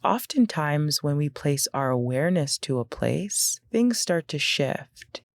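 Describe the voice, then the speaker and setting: Female meditation guide: gentle and very slow, with noticeable vocal fry, recorded close and dry. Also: deep voice, animated, no echo. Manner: gentle, unhurried, instructional with pauses.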